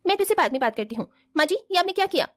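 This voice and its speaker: A woman's voice: extremely high pitch, lively intonation, very fast, dry recording, clean studio sound. A woman playing spoiled, work-shy young rich daughters-in-law in a cartoon family drama, in a whiny, complaining manner. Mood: indignant.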